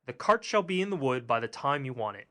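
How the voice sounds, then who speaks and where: A man voicing a tiny, clever young boy who calls out in a high, excited voice. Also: quick pace. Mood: eager.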